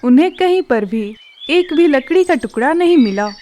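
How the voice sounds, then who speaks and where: A woman narrating a children's cartoon story in a calm, even manner. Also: high voice, normal speed, a little hiss or hum.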